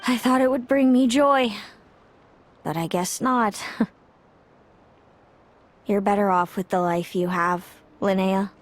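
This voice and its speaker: A woman voicing a weary, bitter mysterious young woman in a game cutscene, speaking slowly and wistfully. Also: mid-range voice, slight background noise, close to an everyday voice.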